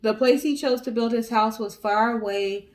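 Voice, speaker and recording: A woman's voice: on the high side, moderate pace. A woman co-hosting a story show, reading a tale aloud in a casual way. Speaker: co-host of a story guessing show.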